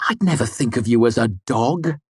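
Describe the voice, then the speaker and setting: A man voicing a proud, hot-tempered young man in an audiobook, shouting biting words. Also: fairly low pitch, flat delivery, takes it slowly, breathy edge, dry recording, full character voice. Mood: scathing.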